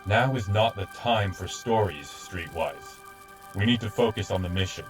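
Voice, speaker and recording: A man's voice: deep voice, animated, moderate pace, distant mic, faint music behind. A man playing a stern, dutiful robot soldier in an audio drama, serious and no-nonsense. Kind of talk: fan audio drama acting.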